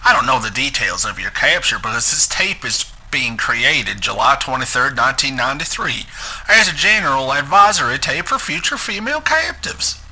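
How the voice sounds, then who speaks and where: A man's voice: medium pitch, big pitch swings, normal speed, bright, forceful tone, breathy edge, low-quality recording. A man giving a dramatic reading of a script as its narrator. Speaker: narrator reading a transcript aloud.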